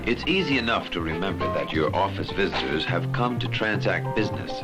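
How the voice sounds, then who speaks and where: A man narrates a training film in a very deep voice with lively intonation. Loud music plays behind his scripted delivery. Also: a little fast.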